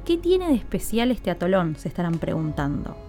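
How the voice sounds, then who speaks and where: A woman's voice: on the low side, very animated, normal speed, warm tone, faint music behind. A woman narrating a scripted podcast in an explanatory way, speaking directly to listeners. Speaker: podcast host.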